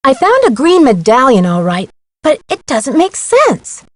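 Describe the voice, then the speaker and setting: A plucky young female sleuth from a video game. Her voice sits fairly high and moves with animated inflection. She sounds curious and capable, recorded dry. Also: a little slow, dry recording, heavily acted. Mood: wry.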